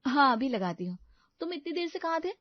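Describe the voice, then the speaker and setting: A woman voicing a meek, emotional young wife in an audio story, soft-hearted and easily dismayed. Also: high voice, sing-song, a little fast, dry recording, muffled recording. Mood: meek, questioning. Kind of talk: Hindi audio story character acting.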